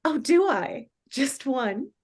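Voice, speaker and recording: A woman reading the part of a gentle, reassuring mother, soft-spoken and tentative, at a script table read. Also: high voice, animated, takes it slowly, husky, exaggerated voice. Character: gentle, unsure, reassuring mother of a young son.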